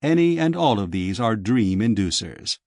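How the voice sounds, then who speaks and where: A man's voice: fairly low pitch, big pitch swings, normal speed, darkish tone, no echo, studio quality, voice pushed down. A man voicing a sleek young dream-shop manager in an audiobook, with polished, formal, lecturing sales patter. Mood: suave, instructive.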